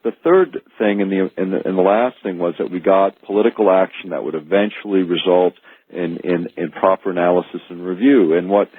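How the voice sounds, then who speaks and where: An American man speaking as an author guest in a radio interview, explaining earnestly and haltingly, repeating words as he goes. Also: low-pitched, breathy edge, no room sound. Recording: narrow phone-like archive radio audio.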